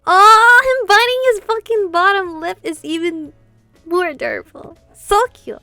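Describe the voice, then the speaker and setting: A woman streamer reading game dialogue aloud and reacting with casual, expressive commentary. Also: very high-pitched, measured pace, no echo. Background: game music.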